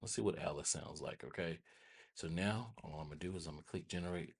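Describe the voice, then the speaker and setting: An American man with a husky voice hosting a casual software tutorial, rambling informally and often checking in with listeners. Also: low voice, quick pace. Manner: informal, rambling, repeats check-in tags like "right?" and "okay?". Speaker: host of a tech tutorial channel.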